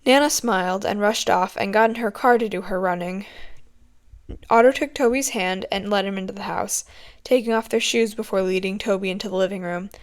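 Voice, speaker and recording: A woman's voice: mid-range voice, a little fast. Woman reading a story aloud. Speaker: amateur audiobook reader. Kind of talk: scripted story narration.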